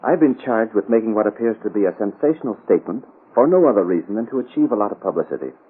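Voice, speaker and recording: An actor playing an earnest, aggrieved doctor in a 1930s radio drama, formal and insistent, with a husky voice. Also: male voice, fairly low pitch, lively intonation, fast talker. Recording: muffled, phone-like old radio.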